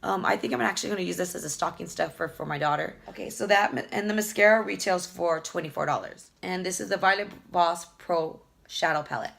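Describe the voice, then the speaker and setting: A woman beauty vlogger chatting casually through an unboxing, hoarse from a lingering cough, rambling fondly about each item. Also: on the low side, brisk, hardly any pauses, a bit bright. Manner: chatty, fond, rambling product talk with fillers. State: hoarse, losing her voice from a cough.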